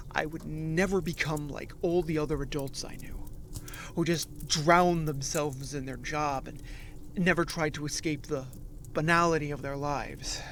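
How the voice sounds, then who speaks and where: A man playing an awkward, frustrated office worker in his late twenties in an audio drama, with halting, stammering speech. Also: on the high side, a bit bright, slight background noise. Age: late 20s.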